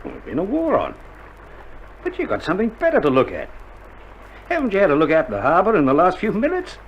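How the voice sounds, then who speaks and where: An actor playing a weary, dry-humoured Australian army doctor in a wartime radio drama, speaking in a colloquial, matter-of-fact way. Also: male voice, sits high, wildly swooping pitch, fast talker, exaggerated voice. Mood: reassuring. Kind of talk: recorded radio drama acting. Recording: dull vintage vinyl recording, loud noise.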